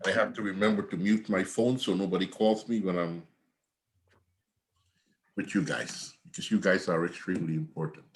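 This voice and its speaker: A man's voice: low voice, steady tone, moderate pace. An older man from Guyana, an accounting professor giving a conversational, rambling online class lecture over a video call from home. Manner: conversational, repetitive, digressive.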